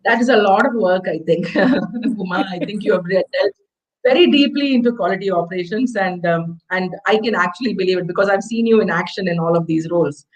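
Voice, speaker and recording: A woman's voice: medium pitch, brisk, low-quality recording. A woman with an Indian accent, hosting an online fireside chat in a chatty, effusive, rambling way. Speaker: host introducing a guest speaker.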